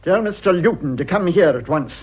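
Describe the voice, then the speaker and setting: An actor playing a wronged upper-class English husband in a British radio drama, clipped, haughty and indignant. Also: male voice, fairly high pitch, expressive, a little fast, breathy edge, dull audio. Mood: stern, accusing.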